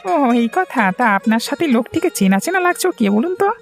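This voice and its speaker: A woman acting a village tea seller in an animated folk tale, chatty and curious. The voice is fairly high, with faint music behind it. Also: fast talker. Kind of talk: cartoon story dialogue.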